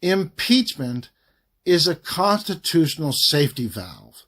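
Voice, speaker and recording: A man reading a formal legal document aloud in a measured, emphatic way, pausing phrase by phrase. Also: on the high side, sing-song, fairly bright tone. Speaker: reader of a government report.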